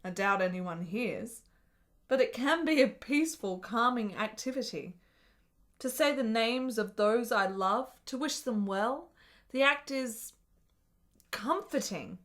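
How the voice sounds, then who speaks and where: An actress playing an ailing, lonely, sharp-witted woman of the 1870s in a radio drama, speaking in measured, literate, wry phrases. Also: on the high side, expressive. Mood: wistful, wry. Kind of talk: period radio drama acting.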